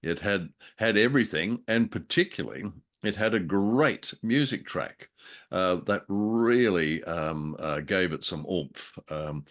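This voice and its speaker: A very low-voiced man talks as a co-host in a relaxed review conversation. He is wry and jokey, and likes to put things with a dramatic flourish. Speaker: podcast co-host. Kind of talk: casual review chat about old-time radio drama.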